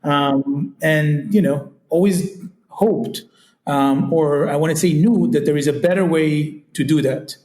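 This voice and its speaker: A man's voice: fairly high pitch, measured pace, darkish tone. A man with an Israeli accent, talking as a guest in a casual interview, searching for words and leaning on fillers like "kinda" and "you know". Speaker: guest, retail company CEO. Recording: echoey room sound.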